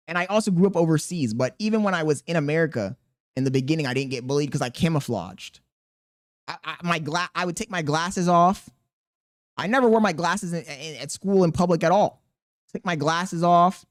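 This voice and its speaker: A man's voice: a little high, normal speed. A man with a thin voice hosting a reaction video, chatting casually to his viewers in a blunt, mocking, profane way. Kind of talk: casual reaction commentary to chat.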